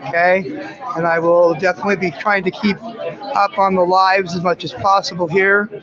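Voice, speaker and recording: A hoarse man livestreaming outdoors as an independent journalist, rambling with many fillers and restarts. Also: sits high, level tone, moderate pace. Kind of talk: casual live stream commentary. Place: outdoors among a crowd near a press conference. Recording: muffled phone audio with loud crowd.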